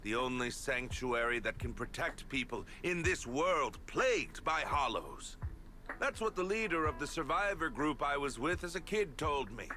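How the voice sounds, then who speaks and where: A man's voice: medium pitch, bright, forceful tone, a little hiss or hum. An actor playing a cynical, scheming male politician in a video game, able to sound nobly declamatory or curt and irritable. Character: cynical male politician rehearsing a noble speech, scheming behind it. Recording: dramatic music under the voice.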